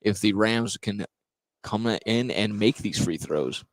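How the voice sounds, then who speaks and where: A man's voice: lowish voice, slow pace, dry recording. A man working as a color commentator, reacting to live basketball plays in colloquial, conversational phrases. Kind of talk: informal live basketball analysis.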